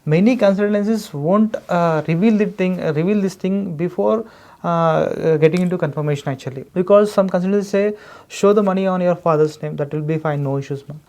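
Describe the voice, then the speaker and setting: A man's voice: high-pitched, fairly quick, darkish tone. A man with an Indian accent explaining things as a consultant in a podcast interview, emphatic and wordy. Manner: emphatic, wordy explaining, often repeats "actually". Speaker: education consultant guest.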